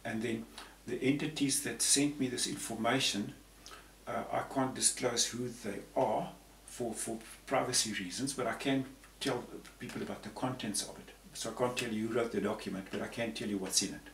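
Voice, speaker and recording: A man's voice: medium pitch, steady tone, moderate pace, gravelly, a bit bright. A man in his sixties from Zambia, explaining things in a rambling, conversational way and often repeating words. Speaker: panel guest. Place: room with patterned tapestry backdrop. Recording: roomy, distant, faint hiss.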